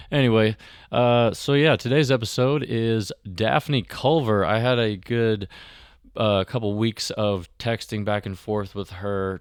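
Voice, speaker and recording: A man's voice: lowish voice, clean tone, no echo, studio quality. A man from Missouri hosting a podcast, rambling and self-deprecating as he opens the episode with a casual chat. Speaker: podcast host. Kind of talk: casual solo intro to a podcast.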